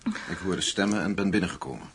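An actor playing a composed, shrewd American CIA agent in a radio drama, measured, polite and probing. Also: male voice, sits low, a bit bright, slightly rough, full character voice. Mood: calm, persuasive. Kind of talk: Dutch radio drama acting.

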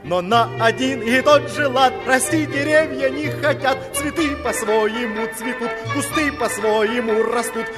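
An actor playing a good-natured, clownish palace gardener, delivering sing-song rhymed verse in a fairy-tale audio play. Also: male voice, very high voice, unhurried, few pauses. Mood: jaunty. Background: music.

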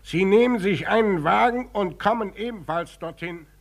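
A brusque police commissioner in an old radio play. His fairly high, gravelly voice swings in pitch as he interrogates impatiently, with faint hiss on the 1950s recording. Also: moderate pace. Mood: brisk, probing. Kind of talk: 1950s German radio drama acting.

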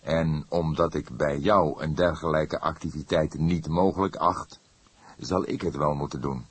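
Man playing deep, dry veteran male detective. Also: fairly even intonation, breathy edge, no echo, exaggerated voice. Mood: dryly mocking. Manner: deliberate, dry, instructive. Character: grey-haired veteran male detective, deliberate, dryly authoritative.

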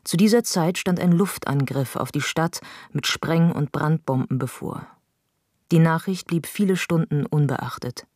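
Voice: mid-range voice, a little slow, darkish tone, no room sound, studio quality. A narrator reading scripted literary documentary text in a sober, factual manner.

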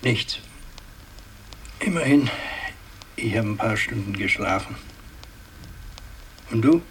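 Old aristocrat family boss, blustery n halting, pitch all over the place, noisy old radio play tape. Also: male voice, animated, fairly bright tone, exaggerated voice. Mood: subdued. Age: older. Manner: declamatory, halting, swinging from commanding to muttering. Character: imperious old aristocratic patriarch, scheming, blustering, evasive. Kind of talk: 1960s German radio drama acting.

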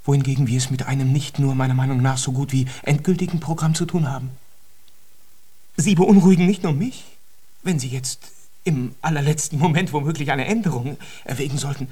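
Husky, fretful concert conductor played by a man. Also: mid-range voice, brisk, mellow, close to an everyday voice. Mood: alarmed, agitated. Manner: agitated, wordy, formal, theatrical. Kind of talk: German radio drama acting.

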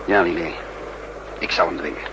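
Deep-voiced commanding spy leader played by a man, very noisy recording. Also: fairly even intonation, normal speed, close to an everyday voice. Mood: grim resolve. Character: resolute male spy leader on the run, calm, calculating. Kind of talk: 1960s Dutch radio drama acting, part narration. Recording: noisy 1960s radio recording.

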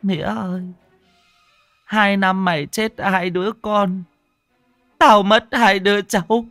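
A woman's voice: a little high, very animated, slow pace, gravelly, husky, dry recording, faint music behind, heavily acted. A woman playing a stern, secretive old mother-in-law in an audio story, blunt, cryptic and weary. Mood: tearful reproach.

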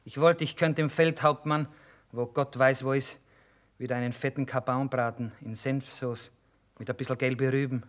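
Radio play: grumbling army cook, male actor, earthy, dry, mid-pitched. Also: fairly even intonation, normal speed, close to an everyday voice. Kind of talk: German radio play acting.

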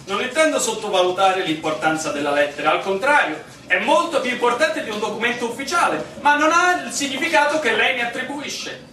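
An echoey room recording of a pompous village mayor in a radio drama. His high voice declaims stubbornly and dismissively, some distance from the mic. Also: animated, brisk, noisy background. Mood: lofty. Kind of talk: stage-style radio drama acting.

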